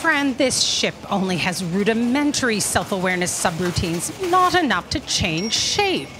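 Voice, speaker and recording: A woman's voice: medium pitch, sing-song, normal speed, natural voice. A woman playing a stern, impatient commanding officer, clipped and scolding in a staged sci-fi comedy drama. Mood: stern, urgent. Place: theatre stage, live performance. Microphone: stand microphone. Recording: noisy live stage recording.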